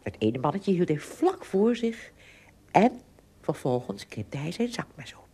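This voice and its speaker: A woman with a slightly husky voice, narrating a story in a dramatic storytelling style. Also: fairly low pitch, very animated, unhurried, very noisy.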